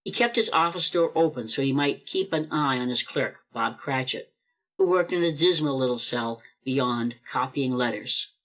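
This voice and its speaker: A storyteller narrates a radio play in a theatrical style. Phrases are set out deliberately, with dramatic stress on each item. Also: medium pitch, a bit bright. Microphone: video call microphone.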